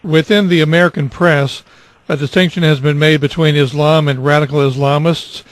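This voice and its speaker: A male radio interviewer asks his questions in a measured way, now and then with a brief filler. His voice sits slightly high and sounds dry, with no room echo. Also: fairly even intonation.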